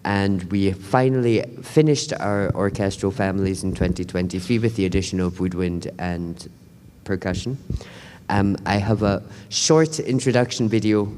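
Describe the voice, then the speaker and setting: Low mellow guy chatting on a panel, lots of ums, echoey conference hall. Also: moderate pace, clean tone. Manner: relaxed, chatty with frequent ums. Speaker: panelist, artistic director. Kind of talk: panel talk. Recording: hall reverb.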